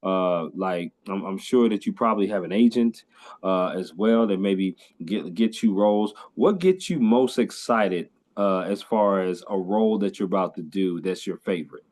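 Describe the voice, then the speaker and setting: Low-voiced enthusiastic podcast host, a man. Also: moderate pace, a little mellow. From California. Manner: enthusiastic, lots of fillers and repeats. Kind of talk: casual interview chat.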